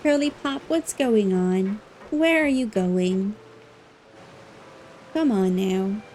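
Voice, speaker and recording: A woman's voice: medium pitch, expressive, slow, darkish tone, a little hiss or hum. A woman livestreamer giving casual, cooing, reactive commentary as she plays a game. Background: game music.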